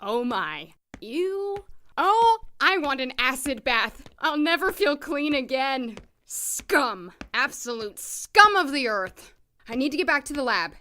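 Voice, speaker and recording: A woman's voice: high-pitched, big pitch swings, takes it slowly, sharp tone, exaggerated voice. A woman playing a guarded, prickly doctor in an audio drama, wry and clipped, polite but easily flustered. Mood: disgusted.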